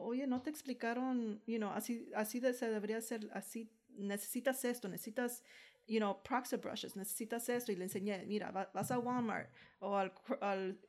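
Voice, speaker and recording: A woman's voice: medium pitch, darkish tone. A woman co-hosting a podcast as a dental hygienist, explaining patient care conversationally and slipping into English now and then. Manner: explains with many tag questions, mixes in English. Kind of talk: conversational interview.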